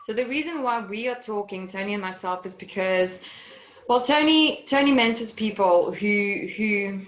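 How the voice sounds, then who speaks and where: A woman's voice: mid-range voice, moderate pace, muffled recording. A woman hosting a live online interview, chatty and rambling with lots of "you know" and restarts. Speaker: interview host.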